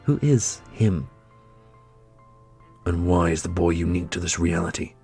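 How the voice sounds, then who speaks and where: A man playing a solemn, loyal prince and protective brother in a fantasy audio roleplay, speaking earnestly and deliberately. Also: sits low, dark tone, husky. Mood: steady, questioning. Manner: earnest, deliberate, grandly heroic. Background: orchestral music.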